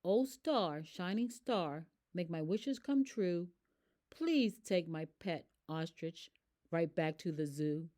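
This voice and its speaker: Woman reading as an animated, exasperated little storybook girl. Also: on the low side, slow pace. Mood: wishful. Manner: animated, expressive. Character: eager, exasperated little girl with a troublesome pet ostrich. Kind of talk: rhyming children's picture book narration.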